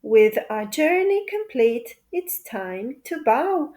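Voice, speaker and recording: A woman with a high voice reads a rhyming picture book aloud for small children. Her delivery is very slow and deliberate, with a playful sing-song lilt suited to toddlers. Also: big pitch swings, darkish tone. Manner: playful, repetitive question-and-answer delivery for toddlers. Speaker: children's story narrator.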